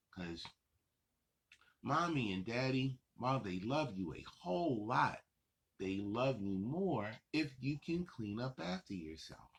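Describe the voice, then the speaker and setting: Gravelly, husky man hosting for kids. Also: medium pitch, a bit bright. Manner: encouraging, reassuring, simple repetitive phrasing. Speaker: host of a children's story time show. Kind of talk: addressing young children directly.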